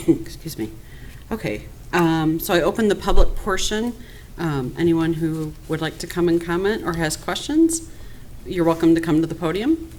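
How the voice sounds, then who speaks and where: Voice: mid-range voice, expressive, a little slow, slight background noise. Polite chair opening a hearing. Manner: polite, procedural. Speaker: meeting chair. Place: city council chamber.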